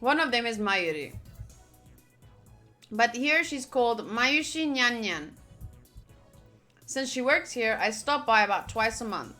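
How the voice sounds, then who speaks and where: A woman with a bright, fairly high voice reads a visual novel aloud for her playthrough. Her delivery swings widely in pitch and slips into casual, chatty reactions. Music plays behind her. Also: unhurried, long pauses. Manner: chatty, expressive, reacting fondly to the game. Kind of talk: reading game narration aloud with casual asides. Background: background music.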